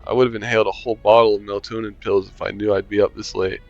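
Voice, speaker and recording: A man's voice: lowish voice, little pitch movement, very fast, no room sound, dull audio. Dry, sarcastic man reading a game aloud. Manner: dry, sarcastic asides, swears casually. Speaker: game streamer. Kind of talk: reading a visual novel aloud with commentary.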